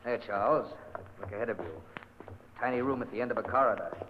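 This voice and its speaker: An actor playing an imperious, morbid aristocrat in old-time radio horror style, with theatrical, curt commands. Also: male voice, fairly low pitch, fast, natural voice. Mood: morbid, brooding. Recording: muffled noisy radio recording, long hall reverb.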